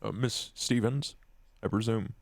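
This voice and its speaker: A man voicing a reserved, creepy vampire gentleman in a tabletop game, formal and courteous with few words. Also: on the low side, steady tone, a little slow, no room sound. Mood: cordial, formal. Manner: terse, formal, cordial.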